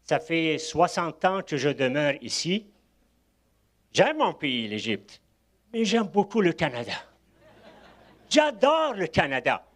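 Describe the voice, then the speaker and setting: A man's voice: a little high, sing-song, takes it slowly, long pauses. An older man from Egypt, a guest preacher giving a sermon, telling anecdotes with chuckling asides. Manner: warm storytelling with asides and chuckles.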